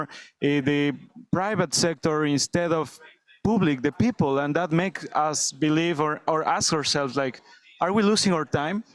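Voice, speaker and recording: Young male activist panelist, Ecuadorian accent, earnest, crisp voice a little above average pitch. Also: dry recording. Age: young adult. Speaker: youth activist, panel guest. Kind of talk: unscripted panel discussion.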